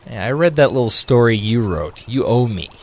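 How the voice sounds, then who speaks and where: A man's voice: mid-range voice, animated, moderate pace. A man playing a worried, protective male echidna guardian in an amateur radio drama. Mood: casual.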